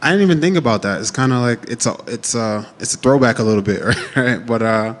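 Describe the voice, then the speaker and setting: A man's voice: medium pitch, fairly quick, in a large hall. A man in his thirties who grew up in Jamaica, a fighter answering media questions in a casual, confident, trash-talking way. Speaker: MMA fighter. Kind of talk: media day interview answers.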